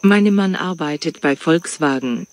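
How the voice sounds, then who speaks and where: Man reading a practice dialogue, slightly high. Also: measured pace, heavy background noise. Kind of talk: scripted exam dialogue.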